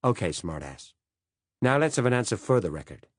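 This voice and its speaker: A man's voice: lowish voice, very animated, brisk, no room sound, clean studio sound, pitched above the performer's own voice. A man voicing a blunt, sardonic American senior intelligence boss. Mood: probing. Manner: brusque, sardonic.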